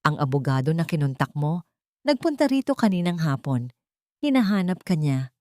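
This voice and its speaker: A woman's voice: deep voice, very animated, moderate pace, darkish tone, no echo, clean studio sound. A woman voicing a frightened, kindly elderly maid in an audiobook drama, speaking with urgent caution. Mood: anxious, cautioning. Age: older.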